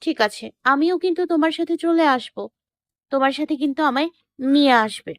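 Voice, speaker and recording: A woman's voice: fairly high pitch, animated, normal speed, no echo, studio quality, close to an everyday voice. A woman playing a lovesick, clingy young woman with a pleading, childlike insistence in an audio love story.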